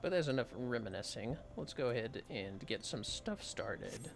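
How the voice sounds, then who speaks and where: Casting: man, casual game stream host, hushed and rambling, fry in the voice, clear music underneath. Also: medium pitch, lively intonation, moderate pace. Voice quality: soft, hushed. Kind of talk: casual gameplay commentary.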